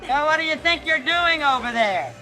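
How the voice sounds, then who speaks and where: A woman voicing a brash, scolding, sharp-tongued diner worker in a motion comic. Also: high-pitched, animated, moderate pace, faint music behind, poor audio. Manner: abrasive, scolding.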